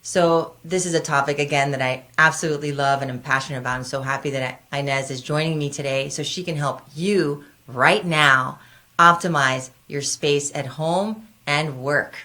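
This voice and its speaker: A woman's voice: sits low, normal speed, sharp tone. A woman hosting a conversational health radio show as a doctor, speaking earnestly and directly to her listeners.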